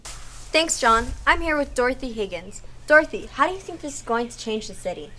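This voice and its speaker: A woman playing a polite 1920s street reporter, asking brisk scripted questions of locals. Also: a little high, lively intonation, bright, forceful tone, slight background noise. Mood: polite, inquiring. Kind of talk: scripted news interview.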